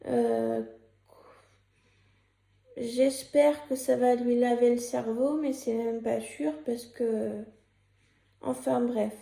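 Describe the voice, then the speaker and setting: YouTuber, young woman, sick with a cold, gravelly and mellow, hesitant with lots of fillers. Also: fairly high pitch, steady tone, slow, pauses often, reverberant. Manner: hesitant, many fillers and restarts. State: has a cold and a sore throat. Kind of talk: casual vlog commentary, partly read from a script.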